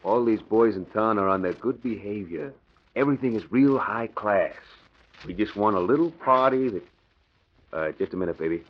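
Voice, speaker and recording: An actor playing a bossy gangster boss with brisk, slangy tough-guy talk in a 1940s radio crime drama. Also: fairly low pitch, natural voice. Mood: smooth, slangy. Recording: noisy, muffled vintage radio recording.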